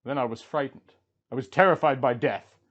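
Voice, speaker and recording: A man's voice: medium pitch, moderate pace, fairly bright tone, dull audio, natural voice. A man voicing an anguished, proud wandering king in an impassioned lament, as part of a storytelling reading. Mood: mournful.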